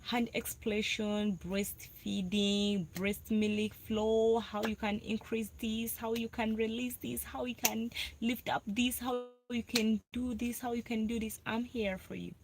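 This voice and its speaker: A woman's voice: on the high side, little pitch movement, unhurried, hardly any pauses, a little hiss or hum, rough recording. Ugandan woman talking insistently on a live stream. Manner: repetitive, insistent, answers viewer comments. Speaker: breastfeeding vlogger hosting a live video. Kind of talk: casual live-stream talk to viewers. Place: parked car.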